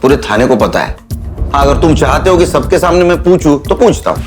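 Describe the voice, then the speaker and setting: Actor as stern police chief over dramatic music. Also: male voice, on the high side, lively intonation, close to an everyday voice. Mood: stern, probing. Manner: authoritative, interrogating, deliberate. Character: stern, probing senior male police officer leading an investigation. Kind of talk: police procedural TV acting. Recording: dramatic background music under dialogue.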